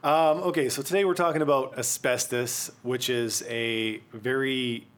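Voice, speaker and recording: A man from Quebec hosting a casual podcast chat, relaxed and easygoing, laughing often. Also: medium pitch, unhurried, clean tone. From Quebec, Canada. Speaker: podcast host.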